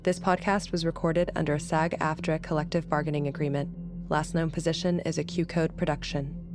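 A woman reading scripted credits as an announcer, measured and matter-of-fact. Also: low-pitched, little pitch movement. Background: music.

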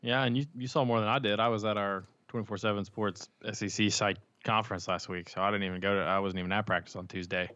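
Low-voiced guy cohosting a sports pod, talks fast, muffled dull audio. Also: fairly even intonation, a bit bright. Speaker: podcast co-host, sports reporter. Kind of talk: casual sports talk.

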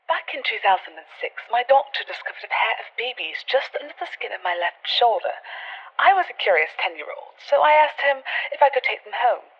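A woman's voice: a little high, lively intonation, a little fast, breathy edge, dull audio. A woman playing an eerie young caller telling a confessional story in an audio drama. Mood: eerie, fond. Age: young adult. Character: eerie young woman convinced of secret night visitors.

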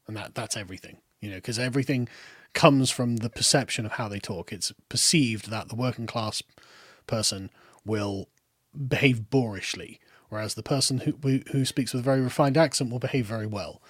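British guest, rambling talker, middling pitch, dead dry room. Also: male voice, a little fast, no room sound. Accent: British, lower middle class. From Britain. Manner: rambling, with many restarts and trailing off quietly. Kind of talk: casual political discussion.

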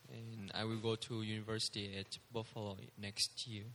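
A man's voice: lowish voice, flat delivery, pushed and bright, slight background noise. A young man from Vietnam, a graduating student, introducing himself hesitantly. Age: young adult. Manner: slow, hesitant.

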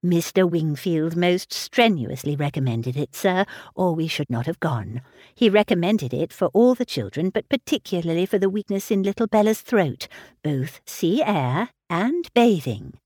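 Gentle polite young mom, soft and a bit lower, woman reading her, dry sound. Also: animated, normal speed, no echo. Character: gentle young mother, dutiful married daughter. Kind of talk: audiobook character reading.

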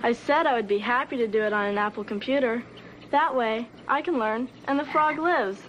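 A woman's voice: fairly high pitch, fairly quick, highs cut off, natural voice. A young woman playing an earnest teenage student in a scripted television ad testimonial. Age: teens. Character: earnest teenage student who refused to dissect a frog. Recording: faint noise, old TV ad playback.

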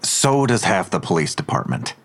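An actor playing a defensive, sardonic policeman in an audio drama, answering curtly in a gravelly voice. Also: sits low, sing-song, moderate pace, exaggerated voice. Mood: defiant. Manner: curt, sardonic. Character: defiant, defensive policeman and father.

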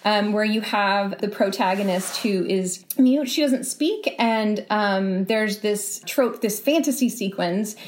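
A woman speaking as a guest in a podcast interview, reflective and explanatory, often pausing mid-phrase and softening points with casual qualifiers. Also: mid-range voice. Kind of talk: thoughtful podcast interview conversation.

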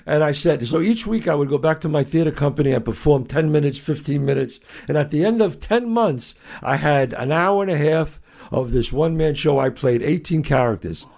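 A man's voice: on the high side, brisk, gravelly. A man, a guest telling stories and acting out the lines of people in them. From New York. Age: 60s. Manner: animated storyteller who acts out quotes. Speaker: guest, actor and writer. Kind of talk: storytelling in an interview.

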